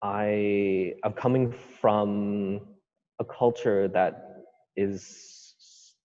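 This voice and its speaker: A man with a notably deep voice talks through his upbringing in a halting, reflective way, often stopping to search for words. The sound is dull and carries a long reverb. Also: fairly even intonation, dark tone. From Canada. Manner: hesitant, thoughtful, many fillers. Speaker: author guest. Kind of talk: podcast interview.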